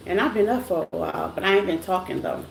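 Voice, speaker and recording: A woman with a scratchy, rough voice hosts a casual tarot livestream, greeting viewers by name in a loose, chatty way. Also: lowish voice. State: voice rough after a silent morning. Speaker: tarot reader hosting a live stream. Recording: echoey room with faint noise.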